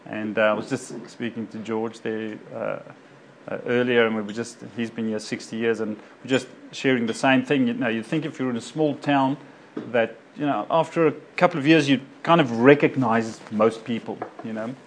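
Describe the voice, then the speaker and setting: A man's voice: mid-range voice, fairly even intonation, moderate pace, low-quality recording. Guy, a doctor, chatting to a crowd, lots of "you know" and restarts, bit of background hum. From South Africa. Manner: rambling, many fillers and self-corrections. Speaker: doctor speaking to a local community group. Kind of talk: informal personal talk to an audience.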